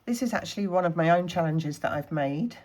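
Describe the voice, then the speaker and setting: A woman from the Scottish Highlands, a little snuffly from a cold, chatting casually through her budgeting challenges and counting money aloud. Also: lively intonation, brisk, darkish tone. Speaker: budgeting vlogger.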